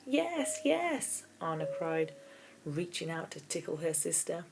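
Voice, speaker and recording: A woman's voice: sits low, monotone, moderate pace, creaky, noisy background. A woman narrating a children's novel aloud in a storybook reading style, as an audiobook reader. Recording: keyboard music behind the voice. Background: keyboard music.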